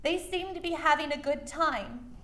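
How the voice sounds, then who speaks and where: A woman playing an earnest, vulnerable witness, speaking in careful, halting phrases in a staged reading of testimony. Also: sits high, sharp tone. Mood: pained, solemn. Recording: echoey stage room.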